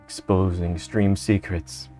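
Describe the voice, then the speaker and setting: A man's voice: sits low, level tone, slow, mellow, soft background music. A man chatting casually on a stream, dry and playful with his co-host.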